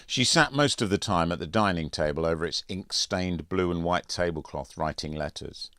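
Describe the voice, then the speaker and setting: A man narrating a literary first-person audiobook in a measured, deliberate way. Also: low-pitched, pushed and bright, dry recording, studio quality. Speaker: author reading own audiobook.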